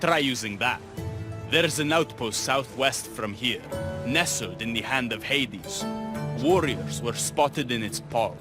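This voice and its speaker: An actor playing a wry, lovelorn young rebel in a video game, speaking in a flowery, sardonic way. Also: male voice, medium pitch, lively intonation, slow, music in the background. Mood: conspiratorial. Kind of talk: video game cutscene acting.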